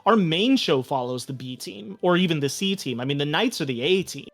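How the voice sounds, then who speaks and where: A man's voice: a little high, lively intonation, fairly quick, faint music behind. A man speaking as a podcast guest and game master, explaining his approach in a casual, reflective, conversational way. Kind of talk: casual, reflective interview chat.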